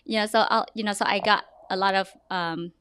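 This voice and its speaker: A young woman with a Vietnamese accent, a real estate agent talking in a podcast interview, lively and often restarting her sentences. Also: medium pitch, brisk, clear, resonant tone. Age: young adult. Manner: animated, restarts often, laughs.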